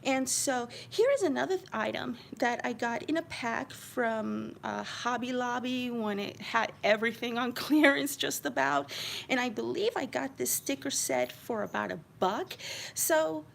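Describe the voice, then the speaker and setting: A woman's voice: on the high side, a little slow, gravelly, slight background noise. A middle-aged woman with a slight New York American accent, chatting casually and rambling as she shows a craft project to viewers. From Queens, New York, United States. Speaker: craft channel host showing her handmade album.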